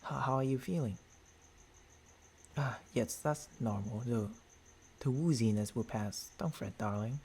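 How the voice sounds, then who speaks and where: A man voicing a gentlemanly, tender vampire boyfriend in an intimate ASMR roleplay, speaking haltingly into a close binaural microphone with formal endearments and a husky, breathy voice. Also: medium pitch, slight background noise. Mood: savoring, hungry, then soothing, apologetic.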